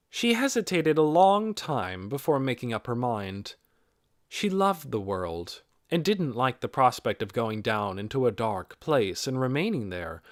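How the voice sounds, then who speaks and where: Male narrator for fiction audiobook, medium pitch, lively melodic range, very dry recording. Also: no room sound.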